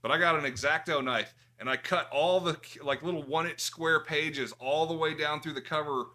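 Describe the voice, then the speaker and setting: A man's voice: on the high side, fairly even intonation, normal speed, pushed and bright. A man in casual, bawdy podcast banter, telling stories in a crude, profane, joking way. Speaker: podcast host.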